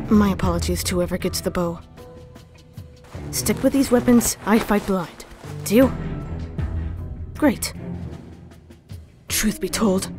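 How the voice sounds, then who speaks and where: A woman's voice: medium pitch, big-room sound, close to an everyday voice. Woman playing a cool, clipped fox assassin, music behind. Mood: cocky, then scornful, angry. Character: female mutant arctic fox ninja assassin, loyal, coolly confident. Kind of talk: comic dub, first-person scripted narration.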